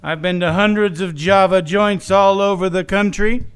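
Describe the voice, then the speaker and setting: A man's voice: high voice, fairly even intonation, normal speed, fairly bright tone, clean tone, soft background music. A man playing a hard-boiled, world-weary gumshoe narrating in a noir parody radio spot. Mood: brooding.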